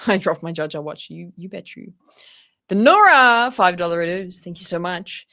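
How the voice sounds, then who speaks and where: A woman's voice: on the low side, animated, moderate pace, bright, forceful tone. An Australian woman co-hosting a casual livestream, talking in a slangy, teasing and enthusiastic way. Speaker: livestream host.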